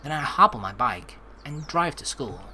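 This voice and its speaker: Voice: mid-range voice, normal speed, a little hiss or hum. A let's play host who chats casually and expressively while playing, and reads game narration aloud. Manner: expressive, chatty, swears casually. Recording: game music under the voice. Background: game music.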